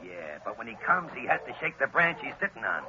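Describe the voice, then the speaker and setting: A man's voice: mid-range voice, very animated, brisk, natural voice. An actor playing a cold, commanding male gang boss in a 1940s radio drama. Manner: gruff, commanding. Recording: dull lo-fi old radio recording with hiss.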